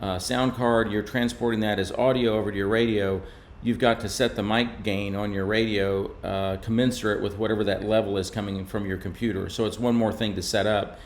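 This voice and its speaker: A man giving an explanatory technical slide presentation in a measured, informal way. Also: lowish voice, fairly even intonation, slight background noise. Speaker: presenter from a radio maker.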